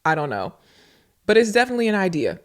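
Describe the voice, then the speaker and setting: Woman doing a casual solo podcast, medium pitch, informal, kinda repeats herself to make a point. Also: sing-song, quick pace. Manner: informal, repeats phrases for emphasis. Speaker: podcast host and content creator. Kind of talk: casual solo podcast talk answering listener questions.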